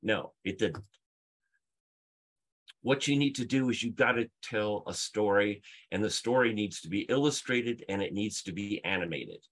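A man's voice: on the low side, normal speed. A middle-aged man presenting on a video call, explaining emphatically through stories and rhetorical questions. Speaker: conference speaker, UX leader. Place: book-lined room at home, indoors.

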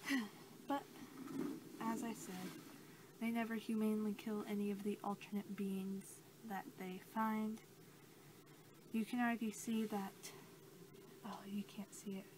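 A young woman speaking softly and haltingly in an ASMR role-play as an examiner, her hushed voice often dropping toward a whisper. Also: mid-range voice, flat delivery. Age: young adult. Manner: gentle, halting delivery that drops into whispers. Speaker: ASMR creator role-playing a creature examiner. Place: small cluttered room indoors. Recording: noisy amateur home recording.

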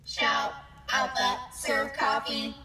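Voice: high-pitched, fairly even intonation, takes it slowly, in a large hall, far from the mic, loud music behind the voice. An actor playing an obedient robot servant in a radio play, speaking in stilted, mechanical phrases. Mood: servile, flat. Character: hulking robot servant, obedient, stilted third-person speech. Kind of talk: old-time radio acting.

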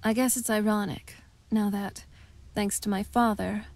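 A woman playing a wistful, shy, dry-witted young vampire woman in halting, intimate video game dialogue. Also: mid-range voice, fairly even intonation, vocal fry. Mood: hesitant, wistful. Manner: halting, soft-spoken, hesitant.

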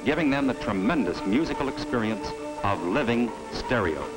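A middle-aged man presenting a vintage promotional film in a studio, giving a measured, emphatic sales pitch. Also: animated, rough recording. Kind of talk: scripted promotional narration. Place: studio set. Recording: old film soundtrack with faint music.

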